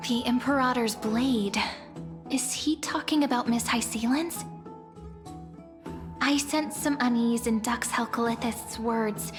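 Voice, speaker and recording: An actress playing a sweet, polite and curious young heroine in a game, with a gentle, earnest way of talking. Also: female voice, a little high, measured pace, natural voice. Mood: thoughtful. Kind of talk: game character voice acting. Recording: long reverb, music behind. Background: music.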